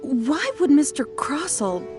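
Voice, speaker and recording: A woman playing a composed, knowledgeable jade expert in a video game, explaining things in formal, measured phrases. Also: high-pitched, sing-song, music in the background, heavily acted. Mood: modest, explaining.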